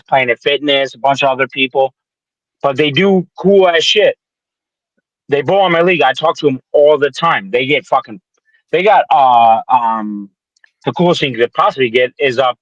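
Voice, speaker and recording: A man's voice: medium pitch, fairly bright tone, no room sound, dull audio. A man in his twenties from the Detroit area, chatting about sports as a podcast guest in a rambling, joking, profane way. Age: 20s. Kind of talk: casual sports chat.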